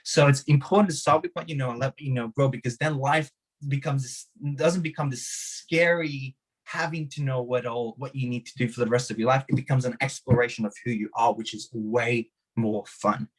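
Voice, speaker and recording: Man, young, Hungarian accent, husky voice, mid pitch, passionate, swears for emphasis, repeats his motto. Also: fairly even intonation, fairly quick, low-quality recording. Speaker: podcast guest. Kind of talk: motivational interview talk.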